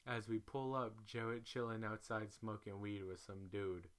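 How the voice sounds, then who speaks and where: A man giving a dramatic, comedic storytelling read, animated when he quotes people. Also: on the low side, unhurried. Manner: animated, exclamatory in quoted lines. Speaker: reader.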